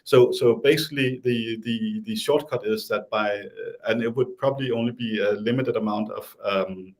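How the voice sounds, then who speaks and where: A man's voice: fairly low pitch, normal speed. A man with a Danish accent, talking casually as an interview guest, hesitant with many restarts and fillers.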